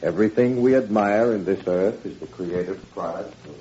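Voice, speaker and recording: An actor playing a stern, condescending Nazi schoolmaster in 1940s radio style, with pompous, lecturing delivery. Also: male voice, low voice, normal speed, warm tone, lightly acted. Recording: muffled, noisy 1940s radio recording.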